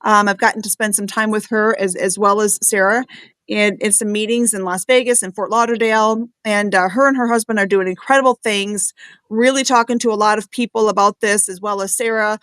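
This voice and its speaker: A woman's voice: mid-range voice, steady tone, a little fast, a bit bright, clean tone, no echo. A woman hosting a live stream, introducing guests in a chatty, slightly hesitant way. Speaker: host.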